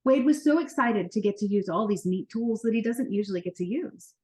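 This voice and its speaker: A woman narrating a children's book as an expressive, animated read-aloud storyteller. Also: mid-range voice, a little fast.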